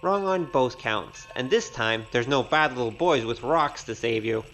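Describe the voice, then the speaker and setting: A man's voice: mid-range voice, animated, moderate pace, fairly bright tone, soft background music, lightly acted. A man playing an easygoing, teasing gambler hero with a flippant manner in an audio drama. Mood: cocky, taunting.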